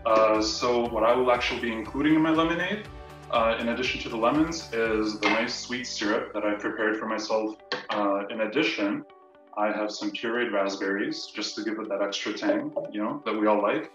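Casting: young man, slightly low voice, casual student presenter on a roomy video call with music behind. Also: moderate pace, fairly bright tone. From Canada. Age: young adult. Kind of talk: casual personal talk to camera. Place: indoor room at home, on video call. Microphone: camera mic at a distance. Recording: roomy echo, distant mic, music behind.

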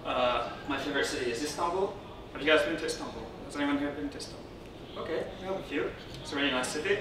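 A man from Syria giving an informal technical conference talk as a speaker, often halting and rephrasing as he goes. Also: fairly high pitch. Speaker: conference speaker, software consultant. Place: indoor darkened conference room, on stage beside a lectern. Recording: roomy, distant, noisy room sound.

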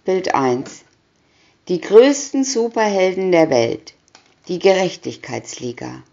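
A woman's voice: on the low side, sing-song, takes it slowly, vocal fry, warm tone, muffled recording. A woman doing a scripted reading of a children's picture booklet, announcing short captions one by one. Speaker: reader.

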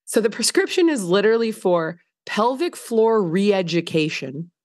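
A woman in her thirties, hoarse from a recent illness, telling a story on a podcast in a casual, filler-heavy way, laughing often. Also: medium pitch, expressive, normal speed, a little mellow. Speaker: podcast co-host. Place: home living room by windows. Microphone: large studio mic on video call.

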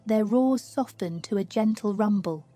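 Soft, mellow woman narrating sleep meditation. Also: medium pitch, dry recording. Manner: slow, soothing, hushed delivery. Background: music.

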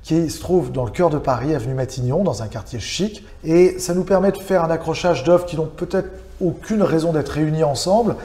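Relaxed middle-aged gallery host. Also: male voice, a little high, brisk, few pauses, roomy sound. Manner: easygoing, with frequent fillers. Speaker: gallery owner hosting the web series. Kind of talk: relaxed conversational interview. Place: small gallery salon, indoors, on a sofa.

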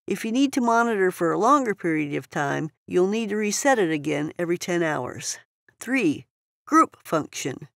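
A woman's voice: fairly low pitch, sing-song, moderate pace, vocal fry, a bit bright, no echo, studio quality. A woman reading scripted instructional narration for a product tutorial.

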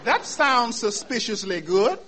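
A man's voice: very high voice, very animated, unhurried, crowd noise, exaggerated voice. A man playing a folksy, sly shoeshine man with dry wisecracks and mock politeness in 1940s radio comedy. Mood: wary, bargaining. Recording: noisy 1940s radio recording, studio audience.

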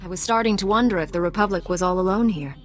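A woman's voice: mid-range voice, fast talker, lightly acted. An actress playing a confident, composed female knight in a sci-fi video game, resolute and assured. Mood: calm, resolute.

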